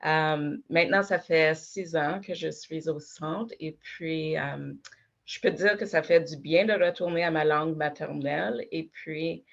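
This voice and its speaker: A woman's voice: low-pitched, measured pace, vocal fry, dull audio. A woman working at a health centre, answering a panel question reflectively.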